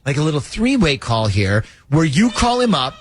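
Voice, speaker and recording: Lively, joking male radio host. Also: fairly high pitch, normal speed. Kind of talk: morning radio call-in segment. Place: radio studio.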